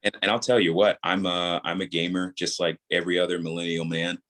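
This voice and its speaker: Low-pitched man explaining his craft. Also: level tone, a little fast, fairly bright tone. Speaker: audio drama creator, podcast guest. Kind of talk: casual interview talk, explaining his craft.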